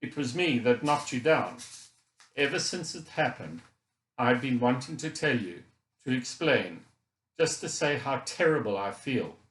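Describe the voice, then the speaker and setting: A man's voice: mid-range voice, animated, unhurried, fairly bright tone, reverberant, rough recording. A man voicing a guilt-ridden middle-aged Scottish father in an audiobook, earnest and apologetic, with an unsteady voice. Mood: remorseful, upset. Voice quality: wobbly, unsteady. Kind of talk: audiobook character dialogue.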